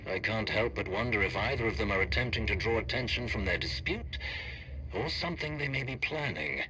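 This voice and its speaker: Formal sith advisor, very sharp bright voice but lowish, diplomatic, bit of noise behind, video game. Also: male voice, lively intonation, brisk, poor audio. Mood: guarded concern. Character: formal Sith official delivering grave political counsel. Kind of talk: scripted video game voice acting.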